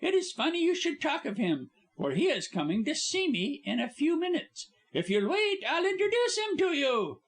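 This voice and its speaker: A man voicing a cheerful, chatty young actress in a high, babbling voice. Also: sing-song, normal speed, hardly any pauses, heavily acted. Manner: bubbly, babbling, high-pitched.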